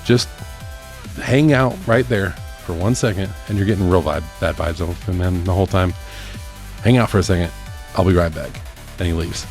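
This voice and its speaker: A man's voice: low-pitched, moderate pace, a little mellow, faint music behind. A man co-hosting a podcast, chatting casually with wry humour and plenty of swearing. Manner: profane, wry. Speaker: podcast co-host. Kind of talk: casual chat.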